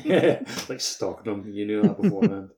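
A man's voice: lowish voice, sing-song, unhurried, warm tone. A man chatting casually as a co-host on a podcast. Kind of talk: casual chat.

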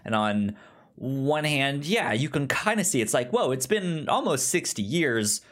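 A man in casual film discussion, stammering on syllables and often halting to rephrase as he goes. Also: medium pitch, very animated, a bit bright. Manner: halting, rambling, circles back to rephrase. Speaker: film podcast host.

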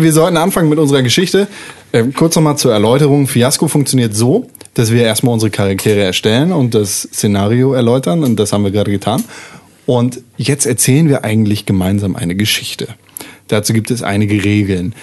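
A man with a medium-pitched voice moderates a tabletop role-playing game. He explains rules and asks the players about the story in a casual, conversational way. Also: darkish tone.

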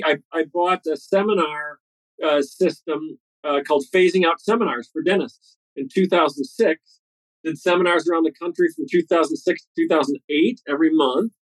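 Brief: man, 40s, dentist guest on a podcast, eager and quick, often restarts, slightly high voice, dry close sound. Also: no room sound, low-quality recording. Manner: fast, eager storytelling with restarts. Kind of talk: podcast interview answers.

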